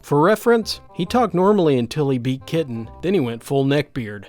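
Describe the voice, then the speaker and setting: A man narrating online stories in a lively, animated reading. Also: on the high side, a little fast, soft background music. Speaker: storyteller.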